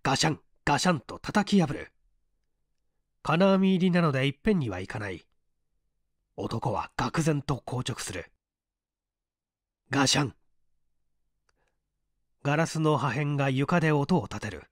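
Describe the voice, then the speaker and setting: A man reading mystery fiction as an audiobook narrator, deliberate and theatrical, with pauses. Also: medium pitch, very animated, no room sound, studio quality.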